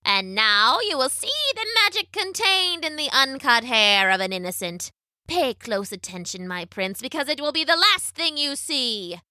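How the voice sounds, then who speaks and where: Ancient storybook crone, croaking, gloating villain, slow menacing delivery, pitched up, bright edge. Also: female voice, animated, no room sound, studio quality, heavily acted. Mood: triumphant. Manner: slow, drawn-out, taunting.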